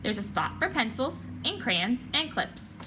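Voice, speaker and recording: A woman voicing an eager, proud little girl inventor for a picture book, excited and insistent. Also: fairly high pitch, a little slow, background noise. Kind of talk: children's picture book read-aloud.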